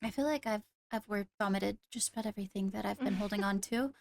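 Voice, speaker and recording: A woman's voice: medium pitch, quick pace, gravelly, dry recording, close to an everyday voice. Woman playing a composed fantasy court leader, dry close sound. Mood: courteous. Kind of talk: improvised Minecraft roleplay in character.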